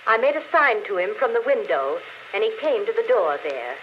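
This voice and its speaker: An actress playing a dead woman who confesses a murder on a phonograph record, speaking slowly in 1940s radio style. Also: a little high. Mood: coolly confessing. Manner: calm, deliberate confession, later frightened. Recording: dull old radio broadcast with faint hiss.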